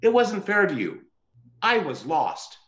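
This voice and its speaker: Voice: medium pitch, big pitch swings, a little slow, fairly bright tone. An actor voicing a killer doll who wheedles and pleads to make amends in a dramatic scene over a video call. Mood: pleading, apologetic. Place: indoor room, remote video call. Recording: roomy echoing video-call audio.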